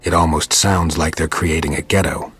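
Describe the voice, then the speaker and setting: An actor playing a gruff, stoic monster hunter in a video game, terse and deadpan with a raspy voice. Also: male voice, a bass voice, moderate pace, no echo, faint music behind, heavily acted. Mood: stoic, dry. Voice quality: gravelly, raspy. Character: gruff, stoic male monster-hunting witcher, terse and dry.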